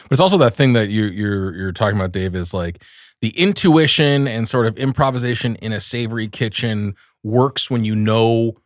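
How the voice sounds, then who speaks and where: A man co-hosting a podcast, talking in a relaxed way with many hesitant fillers. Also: on the low side, normal speed, dry recording, clean studio sound. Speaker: podcast co-host. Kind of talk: casual podcast conversation.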